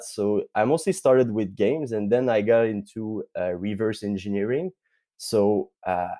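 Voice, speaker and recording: A young man from Quebec with a Quebec French accent, speaking as a guest on a tech podcast interview and pausing often with fillers. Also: fairly low pitch, warm tone. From Quebec, Canada. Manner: thoughtful, many filler pauses. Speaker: guest, tech company CTO.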